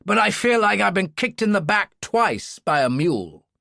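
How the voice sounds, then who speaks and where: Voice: mid-range voice, very animated, normal speed, gravelly, sharp tone, dry recording, studio quality, voice pushed up, heavily acted. A narrator voicing a gracious, wry male senator in an audiobook thriller. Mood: shaken, wry.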